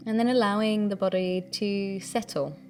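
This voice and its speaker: A woman leading a guided breathing meditation, giving gentle, soothing instructions in a calm, unhurried way. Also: medium pitch, faint music behind.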